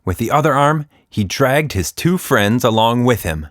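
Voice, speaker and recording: A man's voice: medium pitch, expressive, moderate pace, no room sound, clean studio sound. A man hosting a podcast for children, chatting casually and narrating a story.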